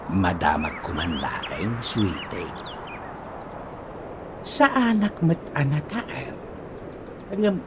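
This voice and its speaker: A playful, boastful older Ilocano dad in a radio comedy. He draws his words out slowly and swings wildly in pitch, doting and teasing, over clearly noisy sound with birds. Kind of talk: radio comedy drama acting. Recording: noisy track with chirping birds.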